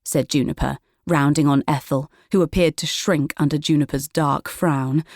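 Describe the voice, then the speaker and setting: Low-pitched woman narrating a children's story. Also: steady tone, normal speed, a little mellow, dry recording, studio quality. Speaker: audiobook narrator.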